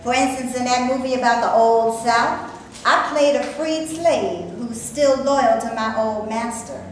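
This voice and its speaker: Echoey live stage reading, faint noise, higher-pitched female voice, actress as wry outspoken maid, indignant would-be film actress. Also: measured pace, lightly acted.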